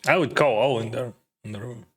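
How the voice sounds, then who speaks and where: A man's voice: mid-range voice, fairly quick, breathy edge. A man streaming poker, chatting casually and thinking his plays through aloud in lightly accented English. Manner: mildly accented non-native English. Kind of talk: casual live-stream commentary.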